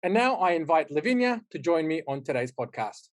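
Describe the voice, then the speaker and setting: A man's voice: fairly high pitch, sing-song, fairly quick. A man hosting a podcast interview, a native Turkish speaker who talks in English in a chatty way with frequent fillers. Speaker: podcast host. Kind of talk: casual interview chat.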